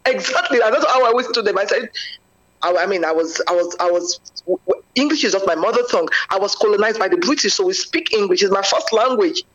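A woman in conversation, expressive and animated as she tells her own experiences. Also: medium pitch, quick pace. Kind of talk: conversational discussion. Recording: lo-fi muffled audio.

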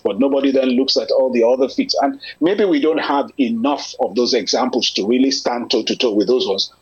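Man, husky voice, earnest and measured, dull lo-fi sound. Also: mid-range voice. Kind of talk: conversational discussion. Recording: lo-fi muffled audio.

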